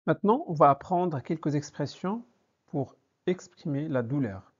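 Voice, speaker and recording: Slow-speaking male language teacher. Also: fairly high pitch, animated, slightly rough. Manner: deliberate, repeats each phrase for learners. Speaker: French teacher. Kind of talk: slow vocabulary lesson. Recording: dull lo-fi recording.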